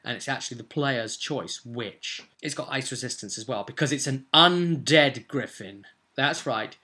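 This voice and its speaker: A man's voice: mid-range voice, normal speed, sharp tone. A young adult man talking casually as a game master explaining a tabletop role-playing session, stressing key words conversationally. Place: small cluttered room at home, indoors.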